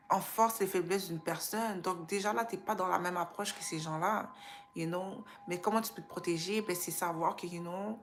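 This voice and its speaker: Woman, lower-pitched voice, Quebec French accent with Creole and English touches, casual motivational live chat, English tag phrases sprinkled in. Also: creaky, a little hiss or hum. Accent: Quebec French with some Creole and English influence. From Quebec, Canada. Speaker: self-care live stream host.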